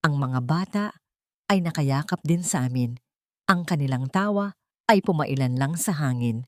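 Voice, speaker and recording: A woman's voice: deep voice, animated, normal speed, no echo, clean studio sound. A woman with a Filipino accent reading a dramatic story as a scripted audiobook narration.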